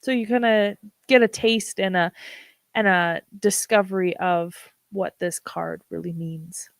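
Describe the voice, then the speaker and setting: A woman hosting a livestream, talking casually with a froggy, hoarse voice and many ums. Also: lowish voice, fairly even intonation, no echo. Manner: unhurried, with frequent ums. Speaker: livestream host.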